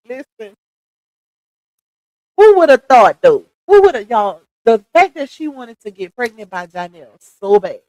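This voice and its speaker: A woman's voice: on the high side, very animated, unhurried, long pauses, a little mellow, no room sound, rough recording. A woman in her thirties chatting casually on a livestream, gossiping, laughing often and talking straight to her viewers. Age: 30s.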